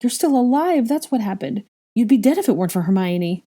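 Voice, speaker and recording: A woman's voice: a little high, lively intonation, extremely slow, warm tone, breathy edge, pitched above the performer's own voice, heavily acted. A woman voicing a fiery young witch in an audiobook story, with an urgent, demanding, snappy manner. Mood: irritable.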